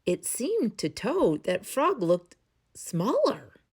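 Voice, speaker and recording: A woman reads aloud for children in a storytime style. Her voice is fairly high and very animated in pitch, and she takes her time, leaning into dramatic emphasis. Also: slow, husky. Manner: dramatic emphasis, asks listeners questions. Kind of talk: expressive read-aloud narration for children.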